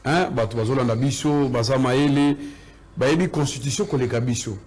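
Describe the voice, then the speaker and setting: A man's voice: medium pitch, moderate pace, a little mellow. A man with a Congolese accent explaining his points emphatically as a guest in a political debate.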